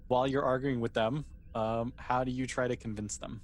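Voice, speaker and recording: A man running a tabletop game as game master, narrating casually and haltingly as he thinks aloud. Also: medium pitch, fairly even intonation.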